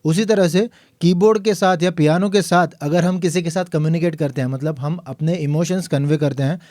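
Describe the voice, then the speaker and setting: A man teaching a piano lesson, explaining calmly and counting the beats aloud. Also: on the high side, a little fast, dry recording, clean studio sound. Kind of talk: tutorial lesson.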